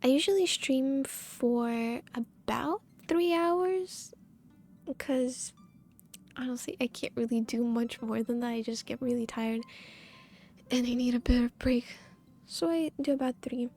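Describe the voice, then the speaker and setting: A woman's voice: fairly high pitch, unhurried, mellow. A woman chatting casually as she live streams a game, giggly and meandering.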